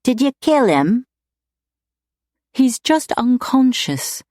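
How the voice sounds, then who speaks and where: Woman voicing a fierce, passionate lady, swooping pitch. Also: big pitch swings, slow, darkish tone, dry recording, clean studio sound. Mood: desperate pleading. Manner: emphatic, heated, pleading. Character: fierce, passionate, stubborn young lady in love. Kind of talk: audiobook character dialogue.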